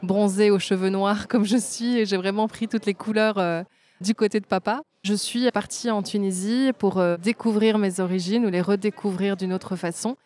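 A woman's voice: medium pitch, fairly even intonation, normal speed. A woman, telling her story as a guest at a trade fair, enthusiastic and often hesitating. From Belgium. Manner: warm, enthusiastic, frequent hesitations. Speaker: designer and guest. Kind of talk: conversational interview.

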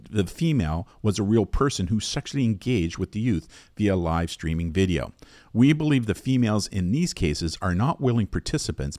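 A man with a low voice presents scripted educational material as a podcast host. His delivery is measured and explanatory, with very lively intonation, and the recording is dry and close. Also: no room sound.

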